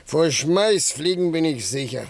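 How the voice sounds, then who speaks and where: An actor playing a drunken, whining, comical jester in a classical radio drama. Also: male voice, fairly high pitch, animated, unhurried, darkish tone, dry recording. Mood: drunk, plaintive.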